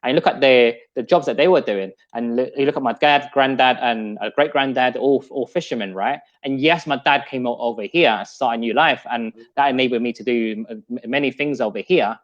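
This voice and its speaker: A man's voice: medium pitch, brisk, hardly any pauses. A man in his thirties hosting a live podcast chat, talking in an earnest, reflective, motivational way. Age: 30s. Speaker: podcast host. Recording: dull lo-fi sound.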